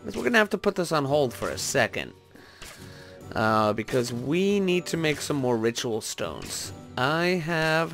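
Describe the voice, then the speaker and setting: Casual male gamer, slightly high-pitched voice. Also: fairly bright tone. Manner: rambling, thinking aloud, talking to viewers. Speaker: gaming video host. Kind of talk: casual Minecraft let's-play commentary.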